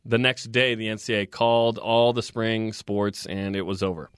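A man hosting a sports talk radio show, recapping results and reading trivia in a steady, informative way. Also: fairly low pitch, normal speed, smooth, no room sound, studio quality.

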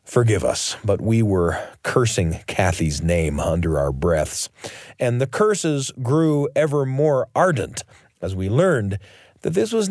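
A man hosting a radio show, telling a scripted personal story in measured, expressive phrases with dramatic pauses. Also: medium pitch, dry recording, clean studio sound.

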